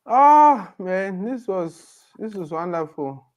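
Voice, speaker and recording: A man's voice: sits high, takes it slowly. A man chatting casually as a podcast co-host. He talks with energy and slips into pidgin. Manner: animated, mixes pidgin into his English. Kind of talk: casual chat.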